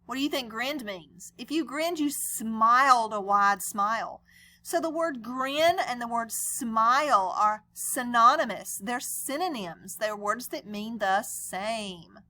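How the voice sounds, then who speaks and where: A woman reads a children's story aloud in a lively, swooping voice. She is on the high, bright side and speaks conversationally, explaining and putting questions to young listeners. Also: slow pace. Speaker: read-aloud storyteller and teacher. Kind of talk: children's picture-book read-aloud with explanations.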